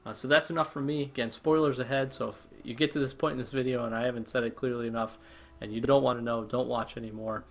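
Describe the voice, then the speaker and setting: A man's voice: mid-range voice, fairly even intonation, quick pace, hardly any pauses. A man hosting a gaming channel, giving a chatty, enthusiastic casual intro with lots of fillers.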